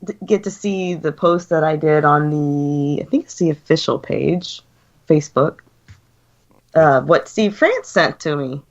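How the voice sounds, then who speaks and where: An American woman with a cold, chatting casually on a radio show, speaking with fillers and soft laughs. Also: low-pitched, lively intonation, measured pace. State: sick with a cold, deeper voice. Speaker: guest co-host. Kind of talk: casual chat. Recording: lo-fi online radio call.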